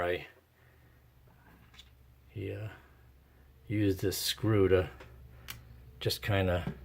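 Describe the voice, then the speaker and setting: This is a man with a very low voice and plenty of fry in it. He narrates a workbench job casually and without a script. His delivery is halting, as if working out each step aloud while he goes. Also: a little hiss or hum. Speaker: host of a radio repair vlog.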